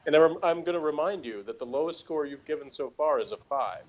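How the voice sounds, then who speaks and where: Higher-voiced man, casual chat, dull recording. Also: animated, brisk. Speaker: co-host of a TV episode review show. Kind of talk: casual chat, episode review.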